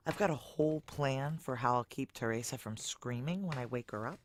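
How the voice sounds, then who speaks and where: A woman's voice: rumbling low, brisk, slight background noise. An actress playing a guilt-worn, blunt female operative on the run in a noir audio drama, wry and terse. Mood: wry, weary narrating. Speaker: narrator and lead character. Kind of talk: noir audio drama acting, first-person narration.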